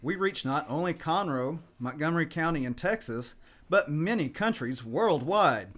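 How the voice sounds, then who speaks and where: Persuasive announcer with lively pitch. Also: normal speed. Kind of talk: radio sponsorship ad.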